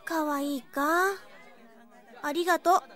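A woman's voice: sits high, steady tone, takes it slowly, a bit bright, some chatter behind. A woman playing a cute, sulky, self-conscious teenage little sister with a girlish, childlike manner in an audio drama. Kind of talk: Japanese audio drama acting.

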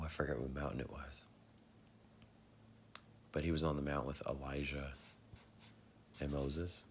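A man in his thirties who is losing his voice, talking slowly at home into a phone at arm's length, often dropping to a husky whisper and clearing his throat. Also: extremely low pitch, a little hiss or hum. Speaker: vlogger sharing religious theories. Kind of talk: casual home video monologue. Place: living room at home, indoors. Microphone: phone camera at arm's length.

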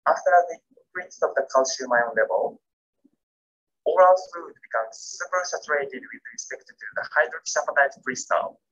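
Bright, husky man reading a lecture. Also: a little high, animated, lo-fi sound. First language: Japanese. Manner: careful, measured reading with non-native grammar. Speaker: keynote speaker. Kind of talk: scripted academic lecture.

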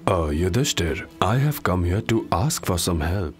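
A man's voice: low voice, big pitch swings, measured pace, faint music behind. A man voicing a distressed Brahmin pleading for help in a cartoon.

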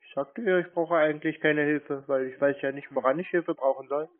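A man in a phone conversation, deadpan and laconic, stubbornly questioning the other party. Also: fairly high pitch, a little fast. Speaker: prank call recipient, scam baiter. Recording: muffled narrowband phone line.

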